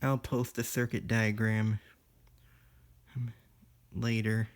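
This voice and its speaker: A person giving a casual hands-on electronics demo in a halting, piecemeal way. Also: mid-range voice, little pitch movement. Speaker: demonstrator in a homemade electronics video.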